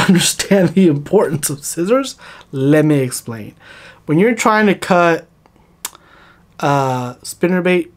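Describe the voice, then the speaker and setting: A man in his thirties, talking casually to camera about his fishing gear. He keeps checking in with "okay?", recorded in a plain indoor studio. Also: on the high side. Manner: relaxed, chatty, often checks in with "okay?". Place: plain indoor studio against a grey wall.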